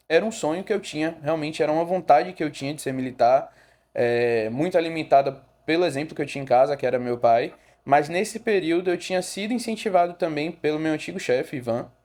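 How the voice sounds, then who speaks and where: A young man from Rio de Janeiro with a Bahian Brazilian accent, a photographer guest telling his story in a relaxed podcast chat with fillers and self-corrections. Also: a little high. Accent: Brazilian Portuguese, Bahia.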